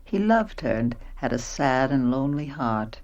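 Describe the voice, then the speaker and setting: An actress playing a composed, educated woman in a radio play, speaking in a measured, reflective way. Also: very deep voice, sing-song, darkish tone, highs cut off, exaggerated voice. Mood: reflective, wistful. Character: composed, educated woman narrating, inhabiting a poor daughter. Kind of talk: radio play narration and dialogue.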